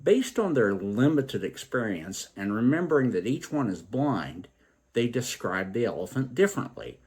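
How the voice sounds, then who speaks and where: A man hosting a story program, telling tales and reflecting on their morals in a deliberate, emphatic way. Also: mid-range voice, very animated, darkish tone. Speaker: storytelling show host.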